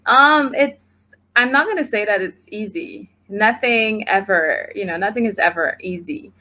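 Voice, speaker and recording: A woman's voice: mid-range voice, measured pace, pushed and bright. A woman with a Guyanese accent chatting casually as an interview guest over a video call. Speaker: interview guest, makeup artist. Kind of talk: casual chat. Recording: muffled, noisy video call audio.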